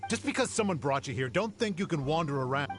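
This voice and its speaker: An actor voicing a gruff, wary henchman on watch in a video game, with a gravelly voice. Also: on the high side, lively intonation, quick pace, close to an everyday voice. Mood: wary, uneasy. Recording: music behind the voice.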